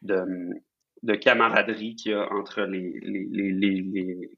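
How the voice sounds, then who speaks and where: Québécois man, podcast guest, halting and filler-heavy, lowish voice, dull remote line. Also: level tone, vocal fry, husky. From Quebec. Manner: halting, many fillers and repeated words. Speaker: guest, head of design. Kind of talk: remote interview. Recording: dull lo-fi call audio.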